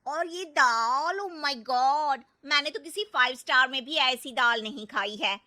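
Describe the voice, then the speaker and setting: A woman voicing an excitable, outspoken young city socialite in an acted story. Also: high voice, unhurried, pitched above the performer's own voice. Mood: gushing. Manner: gushing, outspoken. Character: excitable, outspoken young city women, wife's party friends.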